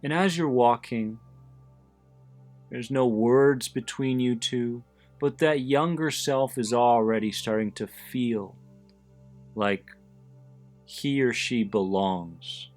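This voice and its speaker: A man leading a guided visualization in a deliberate, soothing manner, pausing between short phrases. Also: mid-range voice, darkish tone. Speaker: host of an anxiety support podcast, guiding listeners. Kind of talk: guided visualization, meditation narration. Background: background music.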